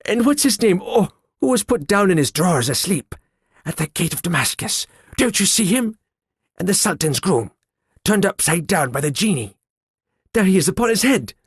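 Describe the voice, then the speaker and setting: A man voicing an old male miser in an audiobook, crying out in breathy bursts and then dropping to a hush. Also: fairly low pitch, normal speed, no room sound, clean studio sound, full character voice. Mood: ecstatic. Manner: excited exclamations swinging to hushed regret. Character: old male miser, swept up in childhood memories. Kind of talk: audiobook character voice.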